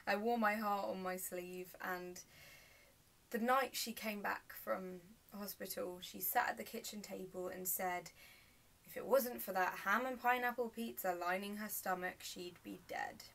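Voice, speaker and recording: A woman performing a naturalistic, understated stage monologue as a narrator haltingly recalling painful memories. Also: medium pitch, steady tone, vocal fry, a bit bright. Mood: pained, subdued. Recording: roomy self-tape, mic at a distance.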